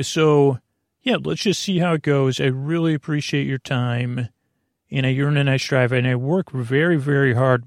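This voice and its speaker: A man with a creaky, lulling voice hosting a bedtime podcast in a meandering, halting way, often interrupting himself. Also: medium pitch, no room sound, studio quality. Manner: soothing, lulling. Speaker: podcast host. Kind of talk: rambling bedtime sleep podcast monologue.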